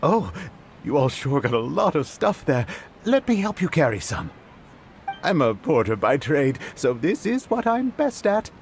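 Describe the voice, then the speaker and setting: A man's voice: fairly high pitch, big pitch swings, unhurried, a little hiss or hum. A man voicing a good-natured, slightly grumbling porter in a video game, casual and down-to-earth. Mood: helpful. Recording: background music.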